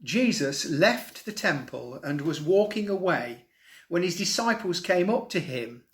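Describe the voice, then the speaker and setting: A man's voice: on the high side, big pitch swings, unhurried. A man reading a Bible chapter aloud as a member of a church.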